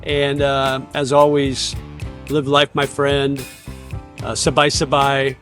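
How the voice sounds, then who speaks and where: Easygoing male host, upbeat, quick to laugh, casual interview in a small studio. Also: medium pitch, completely flat delivery, a bit bright, soft background music.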